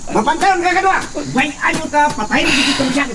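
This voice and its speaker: A man's voice: very high-pitched, brisk. An actor playing a bossy, menacing young ranch master who barks threats at his men, recorded from a distance over a hiss. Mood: furious. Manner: threatening, barking orders.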